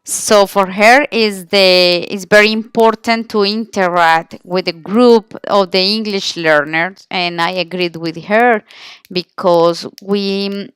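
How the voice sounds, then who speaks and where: Female student presenter, Colombian Spanish accent, slow and halting English, clean smooth tone, close dry sound. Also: medium pitch, steady tone, a bit bright, no room sound. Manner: halting, searching for words, self-correcting. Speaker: language student presenting an interview. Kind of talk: informal spoken class presentation.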